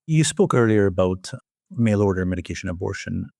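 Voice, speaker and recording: A man's voice: low voice, expressive, brisk, vocal fry, darkish tone, no echo, clean studio sound. A man hosting an interview, putting structured questions to a guest in a formal conversational style. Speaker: interviewer, journal editor hosting.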